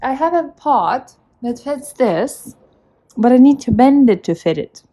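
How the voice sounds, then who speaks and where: A woman with a fairly high voice and a foreign accent in her English. She talks casually as she works, in a playful, argumentative way, with a little music behind her. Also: expressive, measured pace, mellow, dull audio. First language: not English. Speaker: motorcycle builder and video host. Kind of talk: casual banter while working. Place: motorcycle workshop.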